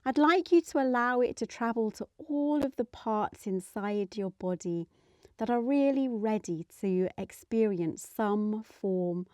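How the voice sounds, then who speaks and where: A woman leading a guided meditation in a soothing, gentle manner, letting her phrases trail off softly. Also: medium pitch, no echo, clean studio sound.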